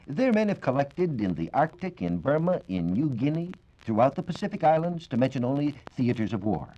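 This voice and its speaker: Expressive American man on dull 1940s radio. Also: medium pitch. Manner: formal, measured, explanatory. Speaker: science reporter. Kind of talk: 1940s radio science talk, scripted explanatory narration. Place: radio studio. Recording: dull, band-limited 1940s radio recording.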